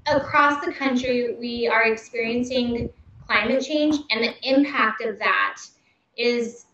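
Young female spokesperson, earnest talking points, slightly high, echoey call audio. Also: a little slow, bright, forceful tone, muffled recording. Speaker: communications director of an advocacy group. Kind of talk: interview answers. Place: indoor room. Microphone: video call. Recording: echoey video call, faint hiss.

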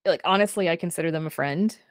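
A woman speaking as a guest in a casual livestream conversation, animated and informal, often saying "like" and "you know". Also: on the low side, fast. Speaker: guest, writer and podcast creator. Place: small book-lined room indoors, on a video call.

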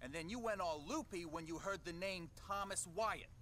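An actor playing a defiant soldier in a video game, probing and accusing as if questioning a traitor. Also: male voice, high-pitched, normal speed, pushed and bright, a little hiss or hum. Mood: probing, sardonic.

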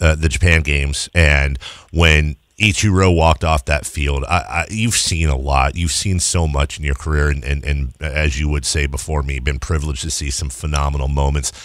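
A male sports radio host in his thirties talks casually and effusively into a close studio mic. His voice is low, and the sound is dry and intimate. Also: a bit bright, no room sound. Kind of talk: casual radio interview chat. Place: small padded radio studio. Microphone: close studio broadcast mic.

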